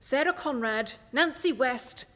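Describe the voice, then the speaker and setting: A woman acts a composed, incisive detective in a radio play. The voice is high and precise, giving orders with assurance, and rings in a long hall-like reverb. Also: unhurried, bright, forceful tone. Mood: assured. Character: confident, incisive gentleman detective. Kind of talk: festive radio play acting.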